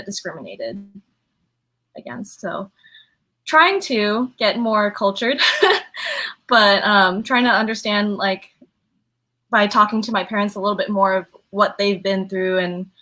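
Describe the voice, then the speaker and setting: A woman from the United States speaking as a panelist in a casual chat, hesitant and pausing often on "um". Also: mid-range voice, pushed and bright. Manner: hesitant, many "um" pauses. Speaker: panelist, engineer.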